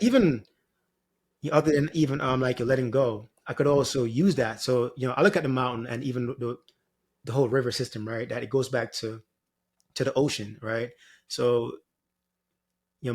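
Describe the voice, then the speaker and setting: A man from Jamaica, talking reflectively as an interview guest in a meandering, informal way peppered with "you know" and "like". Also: mid-range voice. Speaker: spiritual guide, podcast guest.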